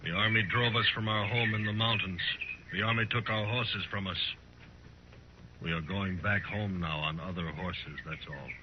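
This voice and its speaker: An actor playing a proud, embittered middle-aged war leader in a 1950s radio western, speaking in formal, measured sentences. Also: male voice, low-pitched, steady tone, natural voice. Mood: proud, bitter. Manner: formal, measured speech without contractions. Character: proud, embittered older Cheyenne war leader, grave and fatalistic. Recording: noisy, muffled 1950s radio recording.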